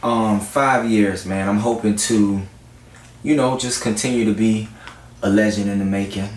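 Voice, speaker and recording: A man's voice: fairly low pitch, steady tone, measured pace. A man from South Florida chatting casually as an R&B singer being interviewed. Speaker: guest R&B singer-songwriter. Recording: roomy echo, distant mic, faint noise.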